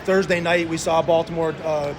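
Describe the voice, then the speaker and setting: A man's voice: a little high, flat delivery, normal speed, over loud music. A man co-hosting a sports betting podcast, talking through games casually with frequent hesitations.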